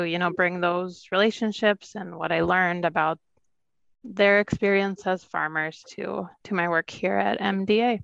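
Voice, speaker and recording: A woman's voice: on the low side, vocal fry, fairly bright tone, no echo, highs cut off. A woman from Minnesota speaking casually and in a friendly way as a panel guest. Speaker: guest, agriculture department staff. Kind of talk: video call panel talk.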